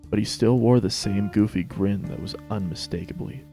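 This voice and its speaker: A man's voice: lowish voice, normal speed, creaky, mellow, soft background music. A man narrating a scripted story as a dramatic reading.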